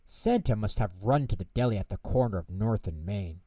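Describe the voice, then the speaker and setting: A man reading a children's bedtime story in an expressive, theatrical narration style, recorded in a roomy space from a distance. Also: fairly low pitch. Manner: measured, theatrical delivery with character voices. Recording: roomy echo, distant microphone.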